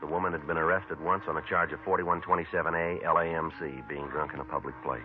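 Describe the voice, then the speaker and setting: A terse police detective voiced by a man lays out the facts in a very low, clipped, matter-of-fact voice and moves briskly through the case. It comes through thin and phone-like, as in an old radio crime broadcast. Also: close to an everyday voice. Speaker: detective and narrator. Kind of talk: 1950s radio crime drama. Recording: tinny vintage radio broadcast.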